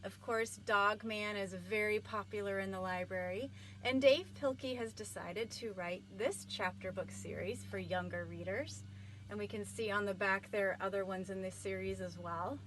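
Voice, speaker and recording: A woman reading aloud to young children like a school librarian, with an expressive, clearly enunciated delivery. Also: mid-range voice, normal speed, slight background noise, poor audio.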